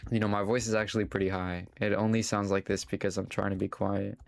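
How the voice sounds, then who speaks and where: A man's voice: fairly low pitch, flat delivery, fast, gravelly, a little hiss or hum. A young adult man chatting casually to his chat while playing a game live, swearing now and then. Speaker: streamer. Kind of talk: casual live gaming commentary.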